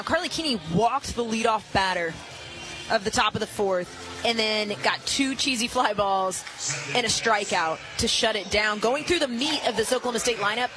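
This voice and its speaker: A woman giving emphatic, coach-like analysis as a color commentator on a live softball broadcast. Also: on the high side. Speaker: color commentator, former pitcher. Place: outdoor ballpark during a live game. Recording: loud outdoor stadium ambience. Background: loud stadium ambience.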